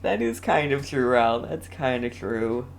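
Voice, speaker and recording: A young man giving casual live game commentary as a streamer, halting and often repeating his phrases. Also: medium pitch, sing-song, creaky, slight background noise. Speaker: gaming streamer.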